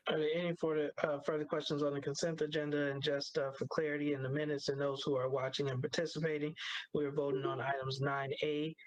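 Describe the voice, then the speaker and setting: Even-toned man chairing a formal meeting. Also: a little high, no room sound, poor audio. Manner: halting, procedural. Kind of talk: formal meeting procedure, reading motions aloud.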